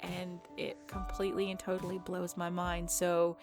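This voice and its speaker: A woman's voice: on the low side, monotone, normal speed, over music. A woman hosting her channel's subscriber Q&A, chatty, playful and self-deprecating. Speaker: ASMR channel host. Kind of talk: casual subscriber Q&A.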